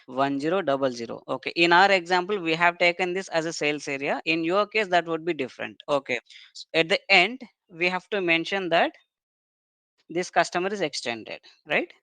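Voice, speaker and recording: A software trainer giving a step-by-step technical lecture in an explanatory way, often punctuating points with short checking tags. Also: mid-range voice, fairly quick, no echo.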